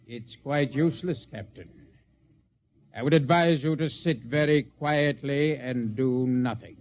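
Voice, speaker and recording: A cold alien mutineer, voiced by a man, talks slowly and calmly in a measured, menacing way. He is a character in a 1950s radio play. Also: medium pitch, natural voice. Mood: coldly menacing. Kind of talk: 1950s radio drama acting.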